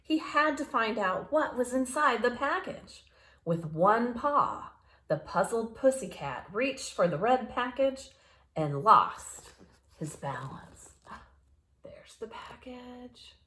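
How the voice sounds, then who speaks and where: Female children's read-aloud storyteller: slow pace, highly varied intonation, playful, talks directly to kids. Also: very animated, a bit bright. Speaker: storyteller reading picture books aloud for children. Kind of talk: animated read-aloud with asides to young listeners.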